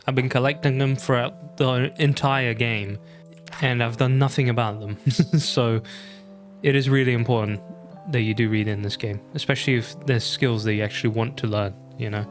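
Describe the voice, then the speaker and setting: A man's voice: medium pitch, normal speed, soft background music. A young man chatting casually over his own gameplay, laughing often and making self-mocking asides. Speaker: gaming YouTuber. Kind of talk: casual let's-play commentary.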